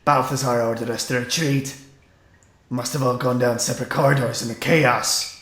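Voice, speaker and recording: A man playing a gruff, sarcastic vampire-hunting soldier in a fantasy audio drama. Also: mid-range voice, fairly even intonation, moderate pace, fairly bright tone, natural voice. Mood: grim. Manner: blunt, sarcastic. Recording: echoey, slightly noisy.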